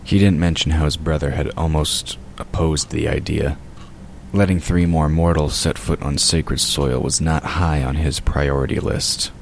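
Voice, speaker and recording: A man's voice: rumbling low, fairly even intonation, measured pace, gravelly. A man reading scripted fiction as the narrator of an audio drama. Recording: noticeable noise behind the voice.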